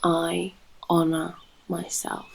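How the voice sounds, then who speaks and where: A British woman guiding a yoga nidra meditation in a soft, breathy, soothing manner with long pauses. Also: on the low side, monotone. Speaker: yoga nidra guide and host. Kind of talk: guided meditation narration.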